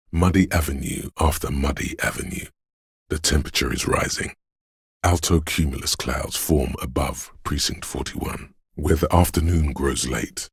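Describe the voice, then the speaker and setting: Super deep husky game narrator, spirit of a city, solemn n poetic, really rough voice. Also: male voice, no echo, studio quality, exaggerated voice. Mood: solemn, atmospheric. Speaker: video game voice actor, narrator. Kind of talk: slow atmospheric second-person narration.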